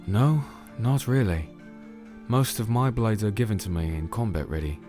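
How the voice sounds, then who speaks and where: A man playing a calm, firm crusader in a fantasy audio drama, narrating and speaking in a measured, solemn way. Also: lowish voice, faint music behind, close to an everyday voice. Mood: polite, measured. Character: disenchanted male crusader, calm, firm sword-bearing investigator. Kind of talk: audio drama dialogue and first-person narration.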